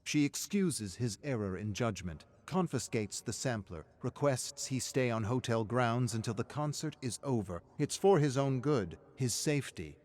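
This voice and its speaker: A man reading dramatic scripted narration of a dark story in a measured, grave manner. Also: mid-range voice, lively intonation.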